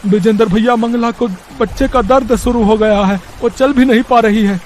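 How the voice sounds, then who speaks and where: A man's voice: extremely high pitch, fairly even intonation, moderate pace, darkish tone, slight background noise. A man voicing a poor village labourer, a caring husband and father, earnest and fretful, in a moral-story cartoon. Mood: distressed.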